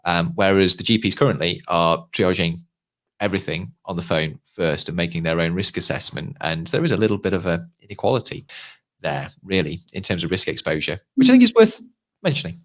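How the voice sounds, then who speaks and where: A man talking casually about general practice on a live panel, halting with fillers and restarts. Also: low voice, lively intonation, a bit bright. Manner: hesitant, many fillers and restarts. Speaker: co-host, GP practice lead.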